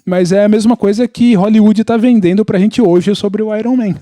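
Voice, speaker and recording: Higher-voiced Brazilian man, mellow and explanatory. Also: steady tone, moderate pace, no echo. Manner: opinionated, explanatory, informal with swearing. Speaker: podcast participant. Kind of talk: casual pop-culture chat.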